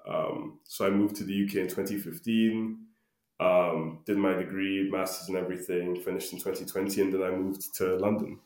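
Young male podcast guest from Ghana, low voice, informal and laid-back, roomy echoey sound. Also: level tone, moderate pace, darkish tone. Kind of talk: casual conversational interview answers.

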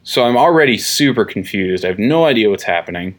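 A young man co-hosting a comic book podcast, rambling in casual chat with many fillers and quick laughs. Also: fairly low pitch, lively intonation. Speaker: podcast co-host.

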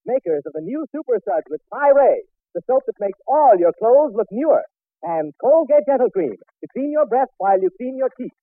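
A man's voice: extremely high pitch, sing-song, normal speed, dry recording. A man with an American accent announcing a scripted 1940s radio show. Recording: muffled, narrow-band old radio recording.

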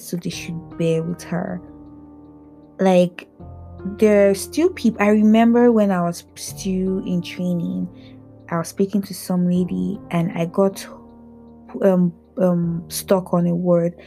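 A woman from Africa who stutters, hosting a candid personal podcast, talking in a halting way with frequent fillers and restarts. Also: lowish voice, gravelly, dark tone. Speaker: podcast host.

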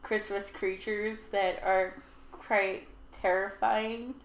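A woman's voice: mid-range voice, little pitch movement, takes it slowly, echoey room, a little hiss or hum, low-quality recording. A woman co-hosting a podcast, chatting casually and hedging her thoughts with "like" and "I just feel". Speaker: podcast co-host. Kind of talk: casual chat.

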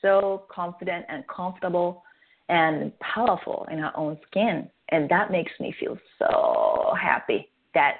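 A woman's voice: on the low side, measured pace, vocal fry. A woman from Japan with a Japanese accent, a guest explaining her method earnestly in a radio interview. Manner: earnest, animated explaining with restarts. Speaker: guest, face yoga creator. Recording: tinny phone line.

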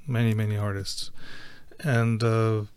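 A middle-aged man from Ukraine, a guest telling his story in a casual interview, halting, with many fillers and restarts. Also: lowish voice, flat delivery, darkish tone, smooth, no echo.

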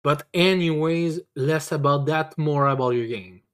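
A man co-hosting a podcast, chatting casually in a halting way and often repeating his words. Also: fairly high pitch, a bit bright, clear, resonant tone. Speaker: podcast co-host. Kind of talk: casual chat.

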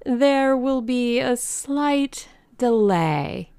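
Woman doing an oily, placating showman, raised pitch. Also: animated, drawn-out words, mellow, smooth, no echo, heavily acted. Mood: smooth, placating. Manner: oily, soothing, falsely serene. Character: smooth evasive male showman placating angry customers.

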